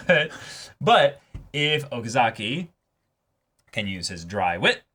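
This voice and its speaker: A man with a bright, punchy voice of middling pitch talks as a co-host. His delivery is very lively and swings widely, with a theatrical flair in otherwise casual chat. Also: slow pace. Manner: animated, breaks into dramatic mock-quoted voices. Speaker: co-host of an anime reaction show. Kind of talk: casual excitable discussion between two hosts.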